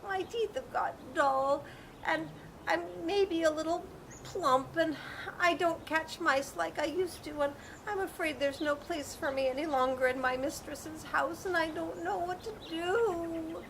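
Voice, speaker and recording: A woman voicing a plump aging female cat in a storybook, speaking in a slow, plaintive, mewing way. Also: very high voice, fairly bright tone, slight background noise, voice pushed up, exaggerated voice, rough recording.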